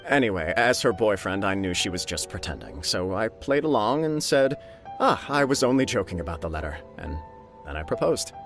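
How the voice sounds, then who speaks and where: Give a smitten young gentleman for a video game, fairly low in pitch. He is earnest, polite and talkative, with light music behind him. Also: big pitch swings, moderate pace. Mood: fond reminiscing. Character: earnest, lovestruck young gentleman of a noble clan.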